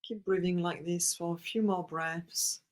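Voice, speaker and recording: A breathwork guide with a gentle, soothing manner and an unhurried pace, leading a client through deep belly breathing. Between instructions, the guide speaks calm affirmations for the client to repeat. The voice sits at a middle pitch. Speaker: breathwork facilitator.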